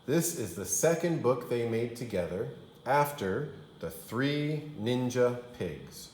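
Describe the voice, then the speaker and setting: Animated, slow-paced man reading to children. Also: mid-range voice, reverberant, a little hiss or hum. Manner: expressive, dramatic storytelling for young children. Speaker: kindergarten teacher reading aloud. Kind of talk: animated storybook read-aloud.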